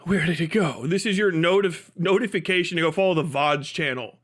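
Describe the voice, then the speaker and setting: Bright, high-pitched man ranting on a livestream. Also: a little fast. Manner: animated, mocking, swears freely. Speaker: live streamer. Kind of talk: casual livestream chat with profane ranting.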